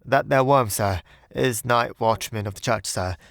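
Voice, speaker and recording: A man's voice: medium pitch, a little slow, creaky, a bit bright, no room sound, studio quality. A man voicing a young Cockney bellboy in an audiobook, deferential but indignant and uneasy, speaking ungrammatically. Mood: grumbling, indignant. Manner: ungrammatical, servile, peppered with "sir". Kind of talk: audiobook character voice.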